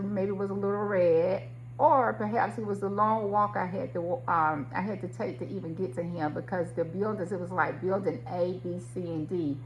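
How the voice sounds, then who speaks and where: A woman's voice: mid-range voice, a little hiss or hum, poor audio. A middle-aged woman telling a personal story in a reflective, conversational way, speaking directly to her listeners.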